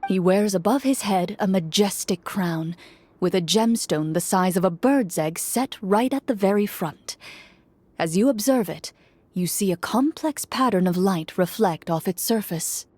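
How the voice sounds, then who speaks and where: A woman reading a game story aloud in steady, measured narration. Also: mid-range voice, dry recording. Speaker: story reader.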